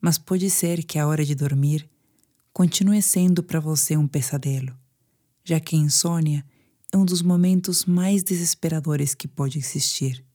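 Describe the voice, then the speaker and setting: A woman with a Brazilian Portuguese accent guiding a sleep meditation in a soothing, hushed manner with pauses. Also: deep voice. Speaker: meditation guide. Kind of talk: guided sleep meditation narration.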